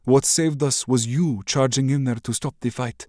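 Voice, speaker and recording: A man voicing a loyal, steady woman warrior, earnest and urgent. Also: mid-range voice, moderate pace, no echo, clean studio sound, voice pushed up, natural voice. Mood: grave.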